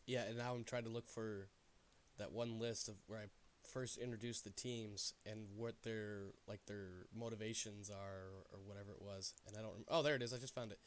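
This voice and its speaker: A man hosting a game stream in casual chat, sounding stuffy, animated and jokey, often trailing off into asides. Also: lowish voice, moderate pace, slight background noise.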